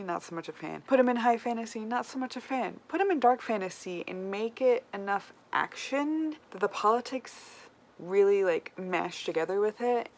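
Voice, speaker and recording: A woman chatting casually to viewers as a book vlogger, with animated, self-deprecating asides. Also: mid-range voice. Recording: clear background room noise.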